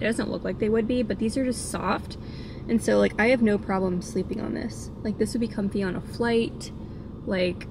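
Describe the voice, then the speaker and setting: A young woman chatting into her phone from the passenger seat of a moving car. Her voice has a gravelly fry and sits at an ordinary pitch. The steady hum of road noise runs under everything she says. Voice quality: vocal fry. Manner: rambling, chatty, lots of fillers. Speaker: vlogger. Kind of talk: casual chatty vlog.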